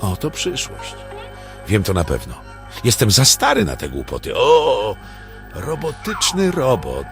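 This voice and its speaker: A man's voice: mid-range voice, huge pitch swings, measured pace, music in the background. A man playing a weary, grumbling veteran cop in an amateur radio play, with dramatic delivery. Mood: grumbling, amazed.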